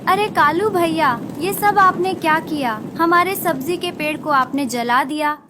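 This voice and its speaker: A woman's voice: very high-pitched, a little slow, background noise. A woman voicing a meek, earnest young female bird, a wife in a cartoon fable. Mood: distraught. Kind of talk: Hindi moral-story cartoon voice acting.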